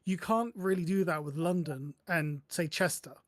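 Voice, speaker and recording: Higher-voiced man explaining haltingly, close and dry. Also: fairly bright tone, no room sound. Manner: halting, self-correcting. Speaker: podcast panellist. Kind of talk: casual explanatory discussion.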